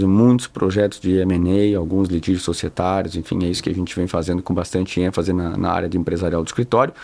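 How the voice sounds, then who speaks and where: A man from Portugal answering interview questions as a guest, speaking in a measured, formal way. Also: on the low side, a little mellow. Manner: measured, formal, careful wording. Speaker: guest lawyer and professor.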